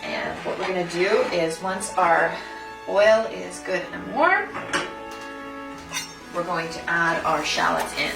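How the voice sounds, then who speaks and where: A woman's voice: lowish voice, animated, takes it slowly, vocal fry, pauses often. A woman hosting a cooking demonstration, explaining the recipe step by step in an instructional way. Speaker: food designer and cooking host. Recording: roomy echo, distant mic, music behind.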